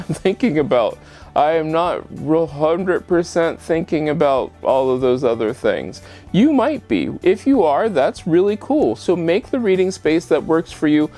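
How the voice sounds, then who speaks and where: Male how-to vlog host, chatty and direct to viewer, slightly high voice over background music. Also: warm tone. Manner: chatty, addresses viewer with rhetorical questions. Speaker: home show host. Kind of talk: casual how-to vlog presentation. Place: bedroom at home.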